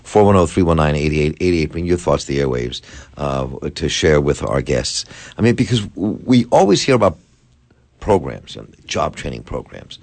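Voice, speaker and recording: A man's voice: rumbling low, big pitch swings, normal speed, creaky, a little mellow. A man hosting a call-in radio talk show, rambling and restarting his sentences as he works toward his questions. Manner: rambling, repeats words while searching for a point. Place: radio studio.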